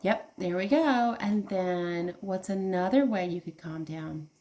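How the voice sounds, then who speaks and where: A woman's voice: on the low side, unhurried, a little mellow, a little hiss or hum. A woman teacher reading aloud to young children, explaining things simply and asking them questions as she goes. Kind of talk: children's read-aloud lesson.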